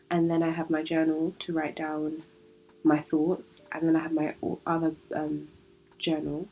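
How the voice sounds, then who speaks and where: Young British woman, solo podcast host. Low, warm voice, a bit nasal from a cold, chatty, reflective. Faint music underneath. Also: rough recording. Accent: British English. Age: young adult. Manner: chatty, reflective, laughs easily.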